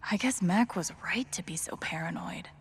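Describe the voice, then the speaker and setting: An actress playing a wary, determined young woman in a video game, thinking aloud in a hushed, breathy, wry way. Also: fairly low pitch, moderate pace, in a whisper, close to an everyday voice. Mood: uneasy, intent. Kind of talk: naturalistic video game acting.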